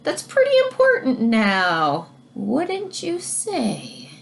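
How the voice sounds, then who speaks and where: Woman reading aloud with lively, swooping pitch. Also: slow pace, gravelly. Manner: simple, child-directed phrasing, pointing things out. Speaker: teacher reading a picture book aloud to pupils. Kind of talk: read-aloud lesson with explanatory asides.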